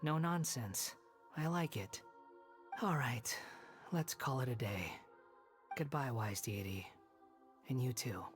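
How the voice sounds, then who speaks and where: A woman's voice: extremely low pitch, slow pace, pauses often, faint music behind. A woman voicing a proud, cold, defiant young male wanderer in a video game, curt and haughty.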